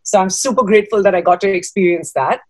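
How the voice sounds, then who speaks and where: A woman's voice: mid-range voice, quick pace, a little mellow, no echo. A woman in her thirties from Dubai, giving conversational interview answers on a video call from a room at home. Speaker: guest, fashion designer.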